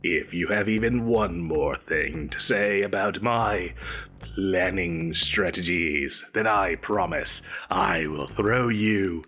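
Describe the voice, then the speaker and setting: A man's voice: low-pitched, takes it slowly, bright, forceful tone, slightly rough, faint music behind, heavily acted. A man playing a petulant, theatrical supervillain boss in a comedic audio roleplay, with a pompous, melodramatic manner. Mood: indignant, pompous. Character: petulant, theatrical male supervillain boss, grandiose but exasperated.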